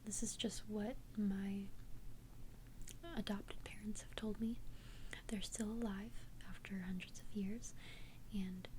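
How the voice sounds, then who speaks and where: Casting: woman playing immortal young female vampire, tender and hesitant, unhurried whispered ASMR confession, slight hiss. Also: medium pitch, flat delivery, mellow, lightly acted. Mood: hesitant, confiding. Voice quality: breathy unvoiced whisper. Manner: hesitant, halting, earnest confession. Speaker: ASMR roleplay performer.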